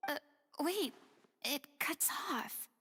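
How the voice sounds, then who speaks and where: A woman's voice: high voice, animated, slow, sharp tone, full character voice. An actress voicing a theatrical, commanding young woman in a video game, speaking with dramatic expression and authority. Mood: intent, curious. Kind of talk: scripted video game voice acting.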